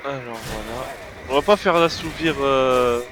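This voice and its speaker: A man's voice: mid-range voice, a little slow, music in the background. A man with a head cold talking through a video game, hesitant and full of fillers, puzzling over what happens. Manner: hesitant, many fillers and restarts. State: has a cold. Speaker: gameplay video creator. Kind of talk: casual gameplay commentary. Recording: noisy home recording.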